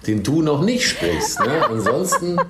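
A man's voice: a little high, huge pitch swings, unhurried, very noisy. A man who works as a training captain, chatting casually on a podcast and giving advice with teasing, jokey asides. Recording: roomy echo.

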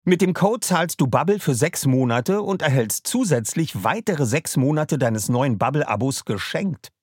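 A man's voice: on the high side, lively intonation, unhurried, slightly rough, no echo, clean studio sound. A man as podcast host, delivering a scripted, upbeat ad read.

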